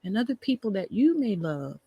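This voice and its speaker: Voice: medium pitch, big pitch swings, a little fast, warm tone, breathy edge, no room sound, highs cut off. A co-host giving conversational reaction commentary, speaking emphatically and repeating phrases for weight.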